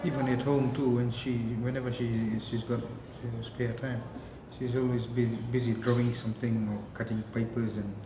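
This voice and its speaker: A parent chatting casually and haltingly in a meeting. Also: flat delivery. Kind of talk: casual, hesitant conversation. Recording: echoey, noisy room with rumble.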